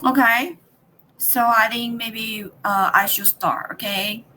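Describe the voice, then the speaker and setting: Taiwanese woman, thirties, workshop leader on a video call; high, bright tone, halting delivery with lots of fillers. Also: steady tone, a little hiss or hum, rough recording. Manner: halting, with many fillers and restarts. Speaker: teacher trainer leading a workshop. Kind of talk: online workshop presentation.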